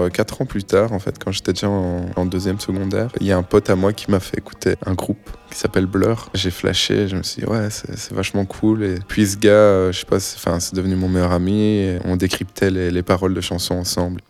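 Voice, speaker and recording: Low, flat-voiced Belgian man. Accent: Belgian French. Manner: relaxed, hesitant, many fillers. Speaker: musician. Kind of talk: casual interview answers.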